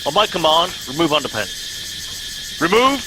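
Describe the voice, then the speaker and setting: An actor playing a pompous, blustering middle-aged English starship captain in a comic radio play, giving clipped orders. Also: male voice, on the high side, very animated, unhurried, noisy background. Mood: commanding. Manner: blustering, officious, clipped commands.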